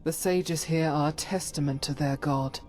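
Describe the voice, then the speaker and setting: A woman's voice: low voice, fairly even intonation, normal speed, a little mellow, soft background music, natural voice. A woman voicing a solemn, devout adventurer companion in a fantasy game, speaking earnestly in formal, archaic phrasing. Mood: reverent, earnest. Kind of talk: scripted fantasy game dialogue.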